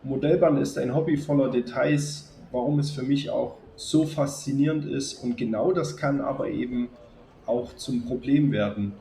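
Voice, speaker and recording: A man with a cold, addressing his viewers in a calm, chatty way as he narrates a hobby video. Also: mid-range voice, moderate pace, gravelly, slight background noise. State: has a cold. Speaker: model railway YouTuber. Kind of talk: casual spoken video narration.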